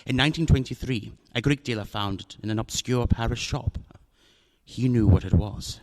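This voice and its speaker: A man's voice: medium pitch, normal speed, close to an everyday voice. A man playing an oily, courtly fat villain. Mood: smooth, storytelling. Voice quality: florid, unctuous, courtly manner. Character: fat, genteel, scheming older male villain. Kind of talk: radio drama acting.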